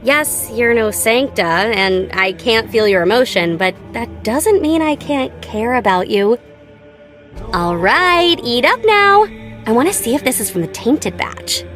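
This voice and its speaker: Young female game tinkerer, American accent, highish girlish voice, lively swooping pitch, playful, nonchalant, casual teasing. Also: unhurried. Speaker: voice actor for a video game character. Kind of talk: scripted game character voice lines. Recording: studio voice over background game music. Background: background music.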